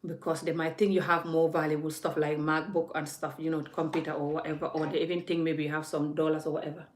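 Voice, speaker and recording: Lower-voiced woman ranting in an echoey room. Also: little pitch movement, fast talker. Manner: forthright, scolding, speaks straight to the viewer. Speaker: vlogger. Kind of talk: impassioned vlog rant.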